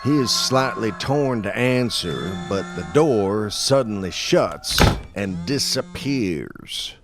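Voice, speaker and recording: A man acting a grim, guilt-ridden dead man who narrates a scripted audio drama in a slow, brooding, deliberate way. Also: on the low side, lively intonation. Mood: brooding narration. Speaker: narrator and in-story character. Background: music.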